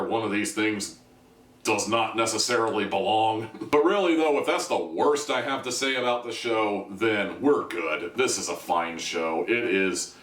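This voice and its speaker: A man's voice: lowish voice, fairly bright tone. A man giving a casual spoken video review in a wry, self-deprecating, conversational way. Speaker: reviewer, host of an audio drama review video series. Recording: roomy echo, distant from the mic.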